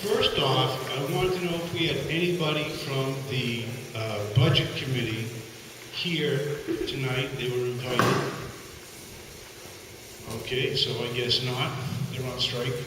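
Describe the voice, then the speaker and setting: A man's voice: medium pitch, measured pace. A man moderating a town meeting in an informal, joking, somewhat hesitant way. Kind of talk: casual moderating. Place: large hall at a town meeting. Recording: echoey hall with steady noise.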